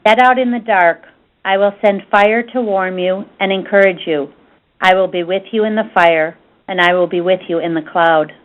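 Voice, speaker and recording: A woman of medium pitch reads a prayer aloud. Her delivery is solemn and measured, and the conference-call audio is dull and lo-fi. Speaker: prayer reader.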